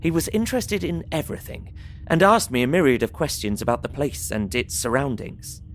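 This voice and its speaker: An actor playing a polite, earnest young man, narrating his diary in measured, formal phrasing for an audio drama. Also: mid-range voice, big pitch swings, a bit bright, a little hiss or hum. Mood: measured, recounting. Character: Jonathan Harker, polite young Englishman, earnest diarist. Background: music.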